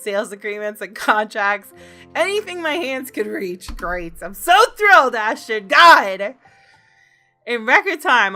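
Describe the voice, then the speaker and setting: A woman's voice: on the high side, pushed and bright. A woman hosting a gaming video, reading visual novel text aloud and reacting expressively.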